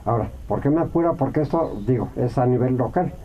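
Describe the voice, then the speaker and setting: A Mexican man, a radio guest, answering at length in a reflective way, with many pauses and fillers. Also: medium pitch, lo-fi sound, a little hiss or hum.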